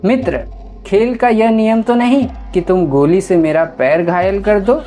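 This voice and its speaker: A woman's voice: mid-range voice, unhurried, mellow, soft background music, natural voice. A woman voicing a talking deer, a playful, sentimental and dramatic friend, in a storybook tale. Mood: mock hurt.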